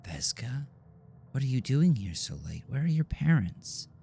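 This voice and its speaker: A man's voice: mid-range voice, big pitch swings, a little slow, gravelly, warm tone, slight background noise, voice pushed up. A man voicing a weary, anxious woman schoolteacher in a horror audio drama, questioning and on edge. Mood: alarmed, questioning.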